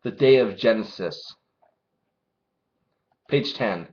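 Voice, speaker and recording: A man's voice: on the low side, expressive, slow, lo-fi sound. A man doing a casual, informal read-along, narrating a story with his own commentary and some swearing. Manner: informal, swearing, acts out dialogue. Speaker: manga reviewer reading chapters aloud.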